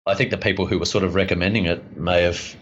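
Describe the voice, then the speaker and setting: A man's voice: sits low, steady tone, fast talker, slightly rough, dull audio. A man with an Australian accent, a guest rambling through stories in casual podcast chat and laughing often.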